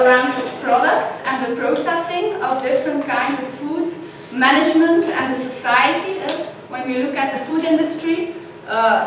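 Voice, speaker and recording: A woman, a lecturer giving a guest lecture with many pauses and "uh"s. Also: high-pitched. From Belgium. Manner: friendly, frequent "uh" pauses. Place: large echoey lecture hall. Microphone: distant room mic. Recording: echoey hall from far off, noisy.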